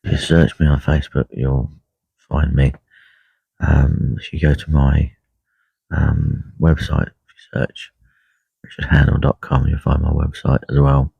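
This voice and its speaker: A man with a croaky voice talking quietly and unhurriedly on a live stream from a lake bank, full of ums and uhs. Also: extremely low pitch, completely flat delivery, a little slow, no room sound. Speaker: carp angler livestreaming. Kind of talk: casual live stream chat. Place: lakeside fishing swim outdoors. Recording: dull lo-fi live stream audio.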